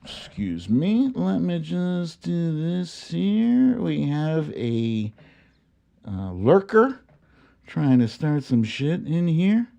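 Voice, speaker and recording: Theatrical male host with swooping, unhurried delivery. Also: on the high side, very animated, creaky, warm tone. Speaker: host of a live online storytime show. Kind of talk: theatrical storybook reading mixed with casual livestream chat.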